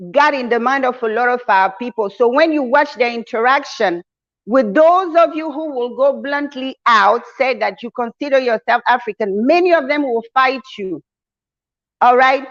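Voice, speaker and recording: A woman's voice: high voice, a bit bright, dull audio. A woman hosting a channel, giving an emphatic, preacher-like motivational address to her followers, full of endearments for her listeners. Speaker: channel host, community leader.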